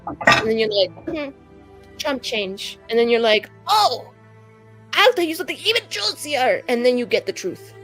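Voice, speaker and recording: A woman's voice: on the high side, big pitch swings, normal speed, a bit bright, soft background music. Chatty young-sounding woman improvising at game table. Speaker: tabletop roleplaying player. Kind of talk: casual improvised in-character roleplay.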